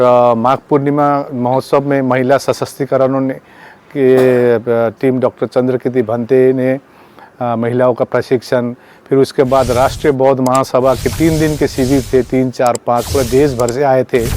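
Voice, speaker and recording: A man speaking as a protest organiser, giving a campaign statement in a halting, repetitive way and mixing in English words. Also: medium pitch, little pitch movement, a little mellow. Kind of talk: spoken public statement on a campaign.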